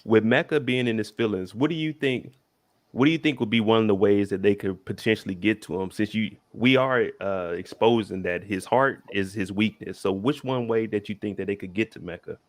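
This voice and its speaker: A man hosting a casual chat about a TV episode, relaxed and slangy, asking follow-up questions. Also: fairly low pitch, brisk, no echo. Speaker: host.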